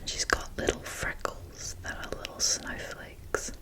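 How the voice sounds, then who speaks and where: A young adult woman whispering in a casual ASMR show-and-tell style, breathy and enthusiastic, with halting, unhurried phrasing. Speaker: ASMR video creator.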